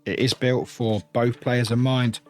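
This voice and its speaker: A man's voice: mid-range voice, little pitch movement, measured pace, a little mellow. Man, enthusiastic, over background music. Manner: enthusiastic, rambling. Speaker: reviewer and unboxing host. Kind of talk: casual unboxing commentary.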